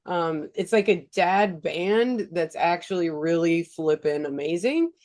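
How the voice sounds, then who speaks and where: A woman's voice: lowish voice, expressive, unhurried, a little mellow. A woman co-hosting a podcast in casual chat, stuffy-nosed and chatty, with many ums and uhs. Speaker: podcast co-host.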